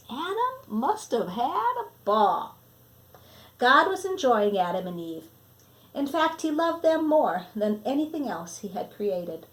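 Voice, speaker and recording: A woman reading a children's story aloud expressively, with deliberate phrasing that sometimes drops to a hush. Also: fairly high pitch. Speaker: storybook reader.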